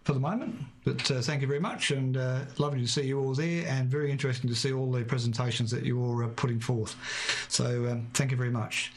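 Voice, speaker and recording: Gravelly, halting man. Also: medium pitch, a little hiss or hum. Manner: halting, many fillers. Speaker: radio amateur presenting his town. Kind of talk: casual live stream talk. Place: home radio shack.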